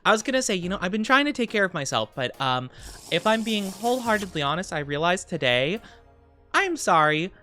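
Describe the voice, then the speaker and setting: A woman's voice: fairly low pitch, big pitch swings, fairly quick, pushed and bright, soft background music. A woman streamer casually chatting with her viewers from her home streaming room, playful and teasing as she answers chat.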